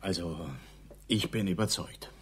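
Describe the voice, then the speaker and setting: An actor playing a dry, bantering gentleman card player in a radio crime play, ironic and understated. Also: sits low, measured pace, slight background noise.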